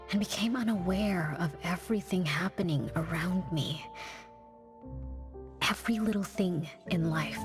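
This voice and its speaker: A gentle, wistful young noblewoman played by an actress in an anime game. She speaks slowly, in a low, polite, reflective voice. Music plays clearly behind her. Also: natural voice. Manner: polite, soft-spoken, reflective.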